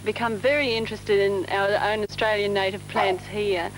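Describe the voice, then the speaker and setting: A woman's voice: mid-range voice, steady tone, moderate pace. A woman from the Netherlands reflecting in a hesitant, thoughtful way in a documentary interview, heard on a hissy 1970s TV documentary recording.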